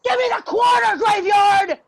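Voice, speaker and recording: A woman's voice: extremely high pitch, a little slow, gravelly, raised voice, exaggerated voice. An actress playing a brash, feisty homeless woman with a blunt, demanding manner in a play reading. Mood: impatient, demanding. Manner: street-tough, blunt, demanding. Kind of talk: dramatic table reading of a play. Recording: faint noise, clicks, video call.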